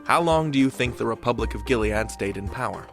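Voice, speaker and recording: A man's voice: mid-range voice, lively intonation, brisk, gravelly, music in the background. A man hosting a podcast with scripted narration, wry and speaking directly to the listener. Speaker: podcast host.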